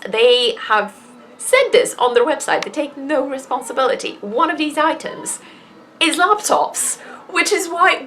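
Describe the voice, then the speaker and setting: A woman's voice: medium pitch, a bit bright. A woman vlogging in a casual, chatty rant, exasperated and sweary, leaning hard on key words. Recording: noticeable background noise.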